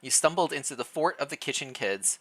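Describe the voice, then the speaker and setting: A streamer reading fan fiction aloud in a playful, expressive way, mixed with casual livestream chat. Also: mid-range voice, fairly quick.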